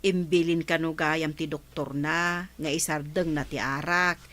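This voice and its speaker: An actress playing a chatty, knowing village gossip in a radio comedy drama. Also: female voice, low-pitched, steady tone, a little slow, a bit bright. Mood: confiding.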